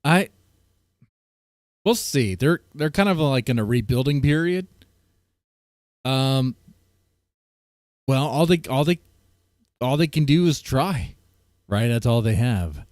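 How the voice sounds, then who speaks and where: A man streaming live, tossing slow, goofy asides to his chat. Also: mid-range voice, expressive, darkish tone, dry recording, clean studio sound. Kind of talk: livestream commentary to chat.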